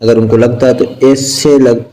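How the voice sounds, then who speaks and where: A Pakistani man teaches like a law lecturer preparing students for an exam. His voice is soft-edged and mellow at an ordinary male pitch. He explains haltingly, circling back to repeat each point and illustrating it with simple examples. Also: flat delivery, rough recording.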